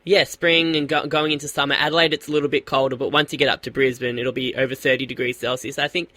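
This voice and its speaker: A man's voice: mid-range voice, level tone, quick pace, bright, forceful tone, no echo. A man with an Australian accent hosting a radio interview, asking eager questions and often stumbling over his words. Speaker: radio host.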